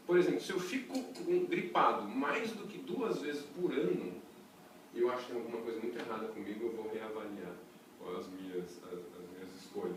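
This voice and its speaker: A man with a Brazilian Portuguese accent teaching a breathing workshop in a chatty, lively way, throwing rhetorical questions to a small group. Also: medium pitch, slight background noise. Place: workshop room with a small audience. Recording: echoey room, voice from a distance.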